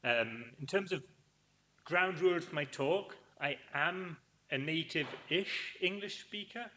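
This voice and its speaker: A man's voice: a little high, takes it slowly, bright, forceful tone, a little hiss or hum. A man with a Scottish accent giving an upbeat, wry academic talk at a conference. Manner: upbeat, wry, self-deprecating. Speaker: conference speaker. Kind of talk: academic presentation. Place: conference hall.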